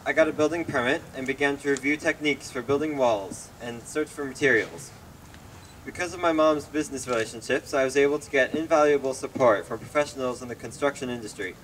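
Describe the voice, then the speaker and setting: A man's voice: medium pitch, a bit bright. A teenage American male reading a prepared speech as an Eagle Scout at an outdoor ceremony. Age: teens. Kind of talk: prepared speech read aloud. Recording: distant outdoor mic, clear traffic noise.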